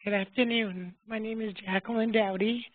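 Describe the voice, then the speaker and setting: A woman presenting a webinar as a health plan's member advocate, her voice going hoarse, speaking in a measured way with fillers and small self-corrections. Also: fairly high pitch, steady tone, no echo. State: losing her voice. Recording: dry phone-line audio.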